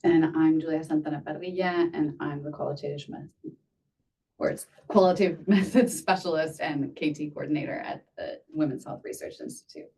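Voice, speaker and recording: A woman in her thirties from Spain, presenting a webinar in an earnest, measured way with frequent fillers. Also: deep voice, fairly even intonation, dark tone, far from the mic, rough recording.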